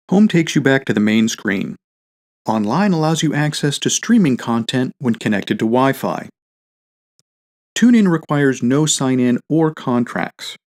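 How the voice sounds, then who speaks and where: A man reading a scripted product tutorial as a demonstrator, in a clear step-by-step instructional way. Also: mid-range voice, a little mellow.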